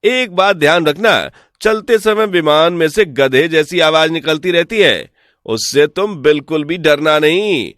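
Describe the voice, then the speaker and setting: A man voicing a sly, smooth-talking village swindler in an animated folk tale, persuasive, boastful and falsely respectful. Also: fairly high pitch, a little slow, dry recording, clean studio sound.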